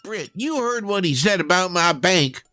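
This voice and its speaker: An actor playing a nervous small-town banker who talks anxiously and insistently, in a comedy audio drama. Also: male voice, high-pitched, expressive, moderate pace, bright, forceful tone, a little hiss or hum.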